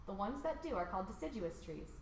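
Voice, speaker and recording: Female, American, children's read-along host. Lively pitch movement, informal delivery, recorded in a roomy echo. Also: fast. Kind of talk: picture book read aloud for children. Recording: roomy echo, faint background noise.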